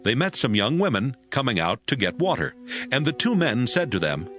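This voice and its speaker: A man narrating scripture from a script in a measured storytelling manner. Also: mid-range voice, big pitch swings, fairly bright tone, soft background music.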